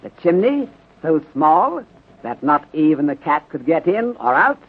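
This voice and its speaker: A man playing a courteous, methodical Chinese detective inspector in 1930s radio drama style, speaking formally in clipped phrasing with deliberate pauses and dropped articles. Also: fairly high pitch, sing-song, breathy edge, full character voice. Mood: insistent, deliberate. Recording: muffled, narrow-band 1930s radio recording.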